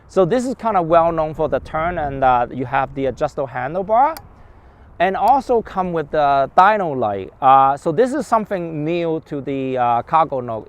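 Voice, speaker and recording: A man presenting a product informally outdoors, explaining in a halting, repetitive way with urban traffic around. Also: fairly high pitch. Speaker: bike shop presenter.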